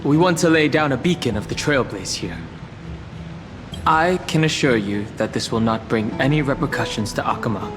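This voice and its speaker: A man's voice: mid-range voice, lively intonation, normal speed, lightly acted. A man playing a polite, earnest young traveller in a video game, speaking in a courteous, matter-of-fact way. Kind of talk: scripted game character dialogue. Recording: long hall reverb, music behind. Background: game music.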